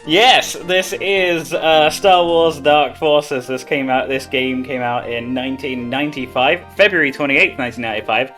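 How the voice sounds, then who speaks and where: A man's voice: fairly high pitch, moderate pace, few pauses, pushed and bright. A man giving casual live commentary as he speedruns a video game. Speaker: speedrunner playing live at a gaming marathon. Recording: game music behind the voice.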